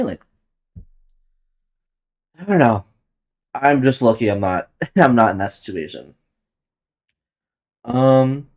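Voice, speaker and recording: A man about to turn twenty, hosting a solo podcast and rambling loosely with plenty of swearing and laughing at his own jokes. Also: mid-range voice. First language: Tagalog. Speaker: podcast host. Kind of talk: casual solo podcast monologue.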